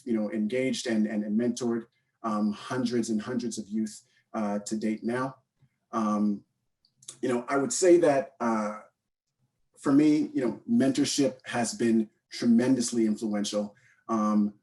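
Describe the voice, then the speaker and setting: A man's voice: fairly low pitch, warm tone, recorded from a distance. A man in his thirties with a Canadian accent giving an informal unscripted talk, hesitant and often repeating his words. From born in Guyana, raised in Canada from age eight. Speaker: panel speaker, mentorship program co-founder.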